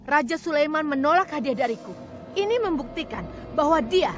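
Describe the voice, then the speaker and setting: A woman playing a proud, commanding and shrewd queen in an animated religious story, speaking in a formal, theatrical way. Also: high-pitched, fairly quick. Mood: indignant, firm. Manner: imperious, formal, theatrical delivery. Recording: loud music under the voice.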